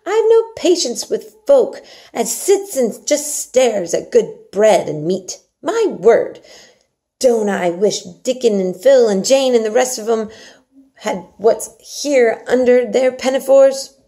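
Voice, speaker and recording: Woman acting a hearty high-pitched young country housemaid. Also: lively intonation, takes it slowly, warm tone. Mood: stoutly scolding. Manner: blunt, emphatic, exclaiming. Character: hearty, outspoken young Yorkshire housemaid with motherly scolding. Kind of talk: storybook dialogue read aloud.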